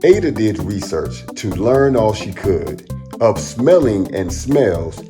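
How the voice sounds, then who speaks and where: A man reading a rhyming children's picture book aloud as a storytime host, in an expressive, dramatic storyteller manner. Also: fairly low pitch, takes it slowly, music in the background.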